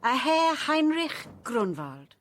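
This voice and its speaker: An actress playing a brisk, courteous woman at a detective agency in a radio drama, polite and efficient. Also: sits high, very animated, background noise, full character voice. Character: Miss Birch, brisk, courteous woman office partner.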